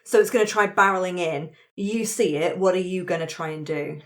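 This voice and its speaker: A woman with a fairly low voice runs a tabletop roleplaying game. She moves between scene narration and casual, encouraging chat with the players. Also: fairly even intonation, a little fast, fairly bright tone.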